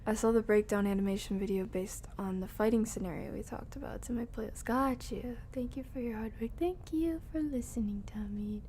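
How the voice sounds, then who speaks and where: A woman's voice: fairly high pitch, brisk, hardly any pauses, warm tone, a little hiss or hum. A woman streamer giving casual live gameplay commentary, chatting to herself and her viewers in slangy asides. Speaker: video game streamer.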